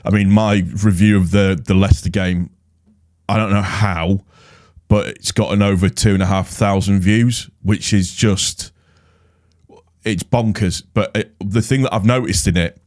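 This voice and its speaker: A man's voice: on the low side, steady tone, a little slow, darkish tone. A man with a cold, talking casually and rambling through his football fan channel, exasperated with rival fans. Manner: chatty, rambling, exasperated when mocking rivals. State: stuffy with a cold. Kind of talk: casual solo vlog commentary.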